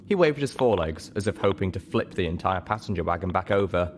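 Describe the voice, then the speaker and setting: Male audiobook narrator, very deep voice, noisy home recording with clicks. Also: animated, fast. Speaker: audiobook reader. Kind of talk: scripted story narration.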